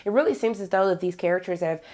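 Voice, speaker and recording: A woman with a cold and a raspy, hoarse voice, hosting a casual recap of a TV episode in a chatty way, with lots of ums and some throat clearing. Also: on the low side, quick pace, a little mellow. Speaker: TV series reviewer and channel host.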